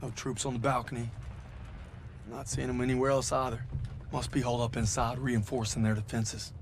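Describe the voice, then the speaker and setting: An actor playing a weary, earnest young American infantry private with a gravelly voice in a war game. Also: male voice, medium pitch, flat delivery, a little fast, a little hiss or hum, lightly acted. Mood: watchful, calm. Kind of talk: cinematic war game acting, letter-like narration and dialogue.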